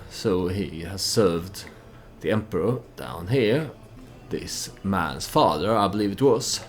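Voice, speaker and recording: Slow, low-pitched man narrating a game. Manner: halting, thinking aloud, speaking as his character. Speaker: let's play host. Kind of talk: game commentary with storytelling, unscripted.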